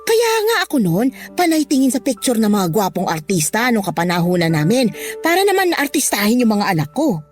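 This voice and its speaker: A woman's voice: a little high, huge pitch swings, fairly quick. An actress playing a blunt, scornful, superstitious older Filipino mother-in-law in a Tagalog radio drama, argumentative with exaggerated intonation. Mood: nagging. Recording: music under the voice.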